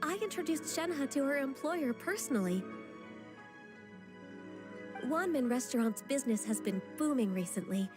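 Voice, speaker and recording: A woman voicing a gentle, dutiful young woman in a video game, polite and formally reassuring. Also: sits high, animated, moderate pace, pauses often, music in the background.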